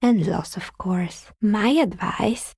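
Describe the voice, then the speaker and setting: An actress playing a wry, world-weary artisan dicemaker in a video game, speaking in a dry, understated, matter-of-fact way with a husky, breathy voice. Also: female voice, medium pitch, slow pace, a little mellow, no room sound. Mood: deadpan. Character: wry, world-weary woman artisan who makes novelty dice. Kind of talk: video game character dialogue.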